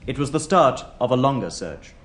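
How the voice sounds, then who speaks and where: An actor delivering earnest, formal historical narration in a radio play. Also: male voice, mid-range voice, sing-song, moderate pace, close to an everyday voice. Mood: measured.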